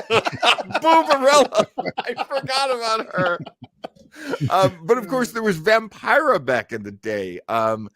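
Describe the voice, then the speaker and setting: A man's voice: sits high, big pitch swings, slow, fairly bright tone. A man hosting an old-time radio show with enthusiastic, showman-like delivery and casual chat.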